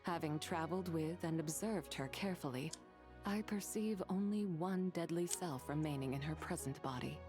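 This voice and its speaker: A woman voicing a courteous, wise immortal in a video game, with formal, measured, old-fashioned delivery. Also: deep voice, a little mellow, soft background music, lightly acted. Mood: grave, composed. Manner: formal, measured, archaic wording.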